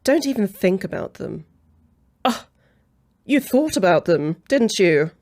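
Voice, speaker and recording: A woman's voice: medium pitch, sing-song, unhurried, darkish tone. A woman playing a haughty, jealous female vampire in an ASMR roleplay, with a snippy, sarcastic way of talking. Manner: snippy, sarcastic, easily flustered.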